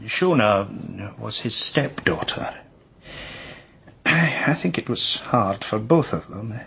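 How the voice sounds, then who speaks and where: An actor playing a shaken, grieving man, husky and halting, repeating himself in a radio crime drama. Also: lowish voice, lively intonation, sharp tone, full character voice. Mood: shocked, grieving.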